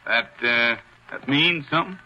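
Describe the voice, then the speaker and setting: A man's voice: medium pitch, normal speed, sharp tone. A man acting a cynical, wisecracking hotel detective in 1940s radio style, sardonic, slangy and needling. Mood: casually cynical. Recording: muffled vintage radio recording.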